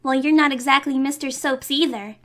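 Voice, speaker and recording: A man voicing an innocent, playful young filly, a little sister in a cartoon pony story, with a childlike falsetto. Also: steady tone, fairly quick, a bit bright. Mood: teasing, playful.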